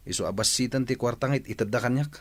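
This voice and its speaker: A man's voice: medium pitch, quick pace, no echo, lightly acted. A man playing a burdened young student in an Ilocano radio drama, earnest and troubled. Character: troubled young male student facing fatherhood.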